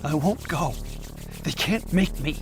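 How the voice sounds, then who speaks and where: An actor playing an agitated, reluctant anthropology professor in an audio drama, husky and halting. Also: male voice, a little high, animated, over music. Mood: defiant. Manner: tense, halting, defiant.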